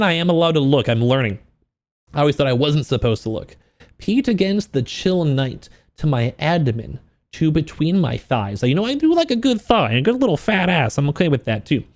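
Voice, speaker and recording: A man's voice: on the high side, darkish tone. A man livestreaming a book reaction, switching between reading aloud and informal, joking, profane asides to his chat in a self-deprecating way.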